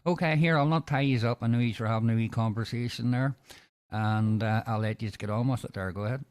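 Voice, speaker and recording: Northern Irish man chatting, low and dry. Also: a little mellow, dry recording. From Northern Ireland. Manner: matey, colloquial. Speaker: CB radio operator. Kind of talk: casual radio contact chat.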